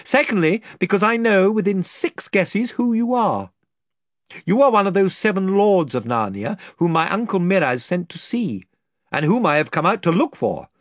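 A man's voice: high voice, big pitch swings, moderate pace, no echo, clean studio sound, voice pushed up. A man voicing a brave young king who speaks earnestly and formally.

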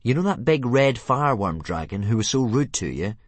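Storybook boy, as a man puts him on: exasperated, coaxing, lively up-and-down voice. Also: medium pitch, brisk, no room sound, voice pushed up, natural voice. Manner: pleading, coaxing, sometimes yelling. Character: young boy dragon trainer, exasperated, coaxing.

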